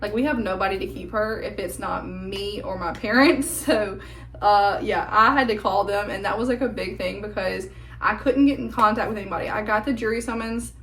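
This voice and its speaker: A young woman chats to the camera at home in a rambling, filler-heavy way, her voice hoarse and nearly gone. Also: mid-range voice, brisk, fairly bright tone, roomy sound. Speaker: vlogger. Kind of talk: casual chat to camera. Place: at home indoors.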